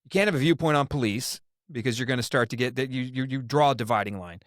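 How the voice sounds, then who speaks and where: A man's voice: mid-range voice, fast, no room sound, studio quality. A man hosting a political podcast, opinionated and rambling, restarting his sentences often. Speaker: podcast host. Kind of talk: casual political discussion.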